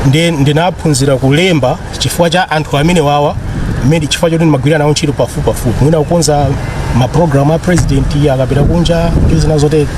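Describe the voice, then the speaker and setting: A man's voice: on the high side, background noise. A man with a Malawian accent, speaking conversationally as he reminisces in an interview. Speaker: former broadcaster and voice-over talent being interviewed. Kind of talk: conversational reminiscing, mixing Chichewa and English.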